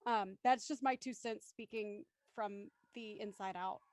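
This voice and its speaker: A woman's voice: mid-range voice, unhurried, slight background noise. A woman speaking as a panelist in a discussion, articulate and reflective, setting out considered thoughts.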